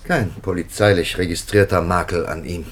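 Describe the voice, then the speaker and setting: An actor playing a thoughtful, hesitant male prelate in a 1950s radio drama, speaking with halting pauses. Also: sits low, fairly even intonation. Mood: reflective.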